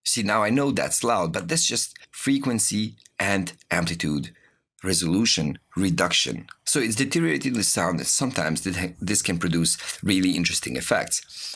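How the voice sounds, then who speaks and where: Deep, husky male tutorial voice. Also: animated, normal speed, a bit bright. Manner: explanatory, informal. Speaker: music production instructor. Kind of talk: spoken tutorial with software demonstrations.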